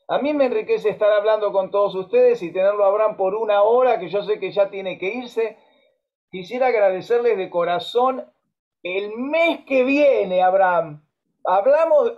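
A man in his fifties from Argentina with an Argentine Spanish accent, a musician hosting a casual video-call conversation with lively storytelling, frequent fillers and restarts. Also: high-pitched. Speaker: musician and host. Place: home music room. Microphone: webcam on a video call. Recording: dull lo-fi video-call audio.

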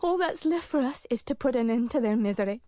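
Woman playing a high-voiced stern shepherd leader. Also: lively intonation, fast talker, warm tone, no room sound, studio quality, lightly acted. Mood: grave, urgent. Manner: formal, solemn, commanding. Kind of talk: 1990s adventure game voice acting.

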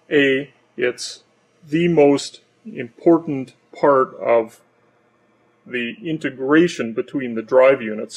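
A middle-aged man giving an unscripted technical demonstration as an engineer, in a measured, explanatory way. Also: mid-range voice, a little mellow, low-quality recording. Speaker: loudspeaker engineer presenting his company's product. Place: large warehouse workshop with stocked shelving, indoors.

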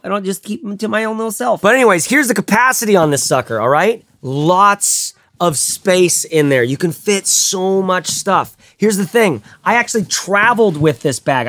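A man's voice: fairly high pitch, a bit bright, no echo. A man in his thirties reviewing a bag in a casual, rambling vlog, talking with lots of fillers and the odd swear from a desk in his home studio. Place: home studio room, indoors.

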